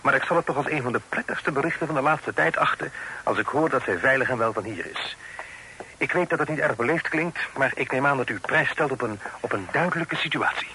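An actor playing an upright, harried young gentleman, polite but frank and formal, in an old radio drama. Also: medium pitch, a little fast. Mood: frank, reserved. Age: young adult. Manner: formal, frank, slightly impatient. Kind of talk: vintage Dutch radio drama acting. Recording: noisy old radio play recording.

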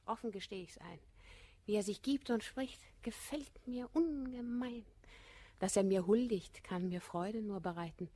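A woman's voice: medium pitch, measured pace, dark tone, natural voice. An actress playing a proud, spirited, coquettish lady in a classical verse radio comedy, poised and haughty in her declamation. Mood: defiant, cool.

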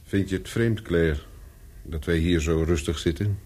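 A man's voice: sits low, a little slow, a little mellow, husky. An actor in a radio play as a nervous, guarded man on the run, speaking tersely and narrating his own story. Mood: guarded, quiet. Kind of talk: radio play acting with first-person narration.

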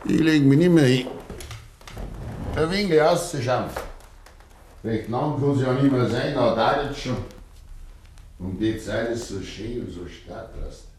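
An actor playing a proud, stubborn old farmer with a Bavarian accent, terse and brooding, in a 1950s radio play. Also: male voice, medium pitch, measured pace, long pauses, a little mellow, a little hiss or hum, close to an everyday voice. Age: older.

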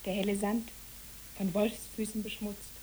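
A woman's voice: medium pitch, little pitch movement, normal speed, a little mellow, lightly acted, poor audio. A woman playing a dreamy, talkative child in a German radio play, speaking wistfully and lyrically. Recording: hissy old radio play recording.

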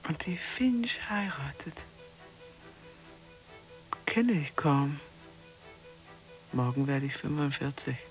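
A woman's voice: sits low, lively intonation, faint music behind, poor audio. An actress playing a lonely spinster in her forties, murmuring terse fragments to herself in a radio drama. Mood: wistful, withdrawn. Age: 40s. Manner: halting, terse fragments, murmured self-talk. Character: lonely spinster of forty-four, brooding over small routines. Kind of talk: radio drama interior monologue.

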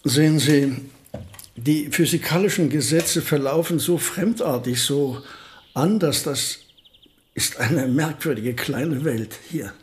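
A man's voice: a little high, slow pace. An actor playing a courteous, whimsical, modest male physicist in a radio drama, speaking in polite, formal phrases. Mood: musing.

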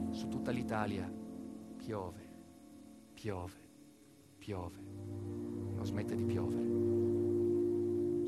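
A man performing a dramatic documentary theatre monologue, telling it emphatically with deliberate repetitions and pauses. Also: medium pitch. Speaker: stage narrator, monologue actor. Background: music behind the voice.

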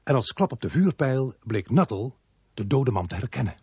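Husky brooding police inspector narrator. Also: male voice, mid-range voice, big pitch swings, moderate pace, darkish tone, dry recording, full character voice. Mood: grave. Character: male police inspector narrating a murder case, sober and sardonic. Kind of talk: radio play narration.

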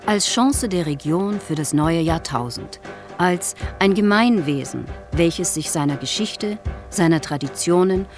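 Actress as detached female narrator reading case files, lowish voice, formal and measured, music playing under it. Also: natural voice. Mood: detached, official. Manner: formal, matter-of-fact, measured. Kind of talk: scripted narration in radio drama.

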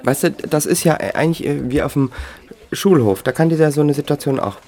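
A man playing a flustered, well-meaning father who speaks haltingly and breaks off, in a naturalistic radio drama. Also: on the high side, lively intonation, a little mellow. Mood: earnest, defensive. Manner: halting, self-interrupting, muttering.

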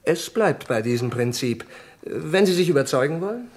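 An actor playing a courteous, confident intelligence security expert in a German radio crime drama, explaining things formally and matter-of-factly. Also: male voice, a little high, lively intonation, moderate pace, darkish tone, a little hiss or hum, lightly acted.

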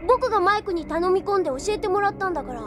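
Anime little boy, super high voice, cheeky n eager, music behind. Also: lively intonation, racing. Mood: cheeky, earnest. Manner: bright childlike, cheeky delivery. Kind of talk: anime audio drama acting.

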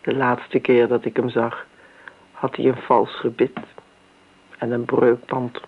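Bitse, spottende nicht, blikkerig geluid. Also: female voice, a bass voice, completely flat delivery, slow, breathy edge, exaggerated voice. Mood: sardonic. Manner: blunt, sardonic, accusing. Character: bitter, sharp-tongued grown niece, imperious and mocking. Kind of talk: radio play acting. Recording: tinny, narrow old radio recording, hiss.

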